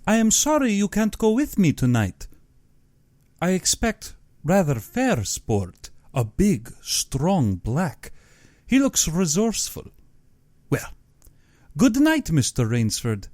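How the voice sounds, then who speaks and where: A man's voice: high-pitched, huge pitch swings, slow, darkish tone, no echo, voice pushed up, heavily acted. A man voicing a genteel, menacing general in an audiobook, with pompous and exaggeratedly courteous speech. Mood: courteous, eager. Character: genteel, menacing aristocratic general who hunts men.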